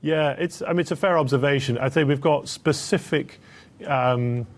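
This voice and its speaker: A man speaking as a company executive, answering analysts on an earnings call in a hesitant, halting, measured way. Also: mid-range voice.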